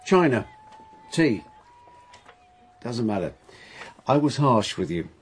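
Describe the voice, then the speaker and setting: An actor playing a sardonic, irritable writer in a radio drama, with a dry, caustic, brusque way of talking and a husky voice. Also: male voice, medium pitch, big pitch swings, slow pace, a little hiss or hum. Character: sardonic, irritable male writer, bitter about his marriage.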